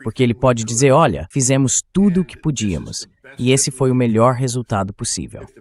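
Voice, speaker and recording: A man's voice: medium pitch, sing-song, a little fast, darkish tone. An AI-voiced man reading a translated dub of an interview guest's political analysis. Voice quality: synthetic AI voice-over.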